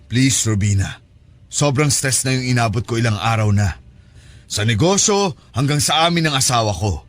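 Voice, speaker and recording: Man acting placating married lover, medium pitch. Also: animated, normal speed, no echo, close to an everyday voice. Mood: pleading, weary. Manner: conversational, placating. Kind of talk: Tagalog radio-style audio drama.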